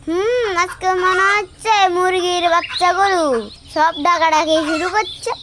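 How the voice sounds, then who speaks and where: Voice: very high voice, measured pace, background noise. A performer voicing an eager, headstrong young boy in a kids' cartoon, childlike and insistent. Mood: excited. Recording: music behind the voice.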